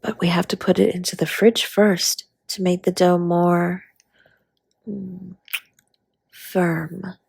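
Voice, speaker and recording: A woman playing a flirty, doting dragon baker spouse in an intimate romantic audio roleplay, speaking in a husky, breathy, teasing way that often drops to a whisper. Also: fairly low pitch, little pitch movement, slow pace, long pauses, a little mellow, no room sound, studio quality, heavily acted. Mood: flirty, tender.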